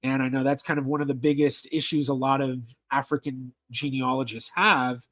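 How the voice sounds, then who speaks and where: A man hosting a casual livestream Q&A as a genealogist, talking in a halting way with many ums and restarts as he reads viewer questions aloud. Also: medium pitch, level tone, fairly bright tone.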